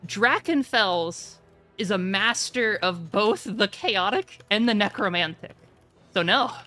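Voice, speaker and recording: A woman's voice: mid-range voice, animated, slow, pushed and bright, faint music behind. A woman running a tabletop roleplaying game, narrating and giving rulings in casual talk. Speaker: game master.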